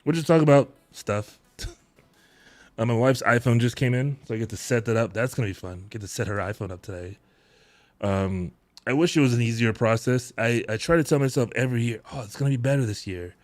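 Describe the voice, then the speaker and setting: A man in his thirties hosting a live tech-deals stream from his home setup, chatting in a relaxed, rambling way with frequent chuckles into a close boom mic. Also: a little fast, no room sound. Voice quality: deep voice. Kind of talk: casual live-stream chat. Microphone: boom mic close to mouth, headphones on.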